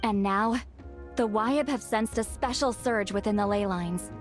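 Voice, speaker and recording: A woman's voice: mid-range voice, unhurried, soft background music, natural voice. A woman playing a proud, fretful, youthful shaman in a video game, self-important, dramatic and easily flustered. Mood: solemn, matter-of-fact.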